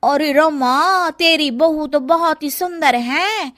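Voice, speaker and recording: A woman voicing gossipy middle-aged women friends in a cartoon story who chat loudly and heap on praise. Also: high-pitched, takes it slowly, pitched above the performer's own voice. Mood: chatty. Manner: loud, chatty, praising.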